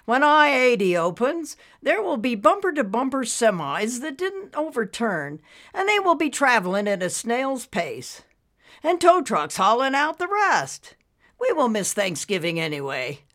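A woman's voice: on the high side, very animated, unhurried, fairly bright tone, pitched above the performer's own voice. A woman reading aloud, voicing a weary, practical older husband in a firm, matter-of-fact way. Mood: resigned. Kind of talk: storybook character voice.

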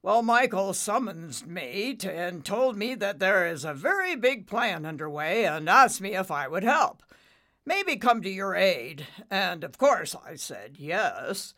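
A woman voicing a big, confident father storm cloud in a story, booming and emphatic, with a secretive streak. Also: sing-song, a little slow, bright, forceful tone, heavily acted. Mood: hearty.

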